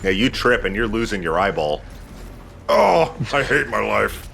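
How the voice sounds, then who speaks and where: A man with a bright, punchy, fairly low voice commentates a video game. He talks casually, rambling and joking with some swearing. There is faint noise and ambience behind him. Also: very animated, a little slow, creaky. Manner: profane, rambling, jokey. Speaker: host of a video game let's play. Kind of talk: casual gameplay commentary with co-commentary banter.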